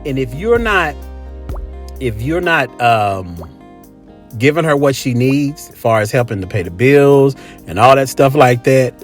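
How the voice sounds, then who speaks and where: A man's voice: medium pitch, animated, unhurried, soft background music. An American man hosting a podcast, giving a casual, opinionated monologue in an emphatic, repetitive, slangy way. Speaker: podcast host.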